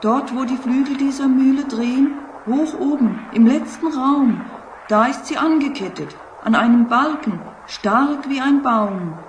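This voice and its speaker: A woman playing a magical talking boomerang in a children's radio play, a dutiful servant who speaks in solemn theatrical rhyme. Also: a little slow. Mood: dramatic, eerie. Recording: echo effect with faint noise.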